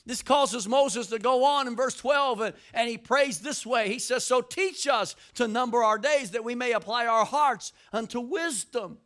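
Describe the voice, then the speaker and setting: High-pitched older man preaching a sermon. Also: normal speed, few pauses. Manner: preaching with emphatic rises and shouted lines; repeats words when building a point. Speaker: pastor.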